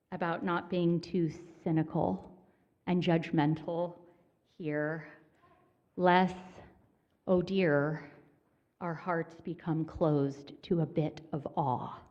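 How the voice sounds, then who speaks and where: A woman minister delivers her sermon in a church sanctuary, unhurried and conversational, with a dry, self-deprecating humor. Her voice sits a little low, and she takes her time over each phrase. The recording sounds dull and slightly lo-fi. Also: fairly even intonation.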